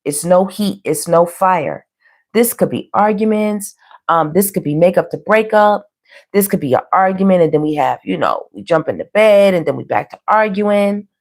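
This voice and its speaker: A hoarse woman giving a casual, conversational tarot reading to online viewers, speaking colloquially and often checking in with her listeners. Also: lowish voice, animated, moderate pace, a little mellow. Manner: colloquial, frequently checking in with "you know" and "okay". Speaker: tarot reader hosting a live online reading.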